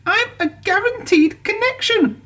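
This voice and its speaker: A narrator voicing Percy, a small, anxious young male engine who whines, in a storybook reading, pitched well above the narrating voice. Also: fairly even intonation, unhurried, a bit bright. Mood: glum. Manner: whiny, plaintive. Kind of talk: storybook character voice.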